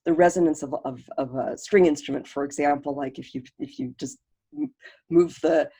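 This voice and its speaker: Panelist, woman, deep voice, heartfelt, halting, fading at phrase ends. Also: fairly even intonation, slightly rough. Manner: earnest, many restarts, trailing off softly. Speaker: panelist, professor. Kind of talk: reflective panel talk on a video call. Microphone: video call.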